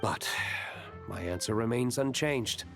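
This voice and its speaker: A man voicing a brooding, stubborn vigilante in a video game, stoic, measured and guarded. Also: mid-range voice, natural voice. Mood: guarded, resolute. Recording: music behind the voice.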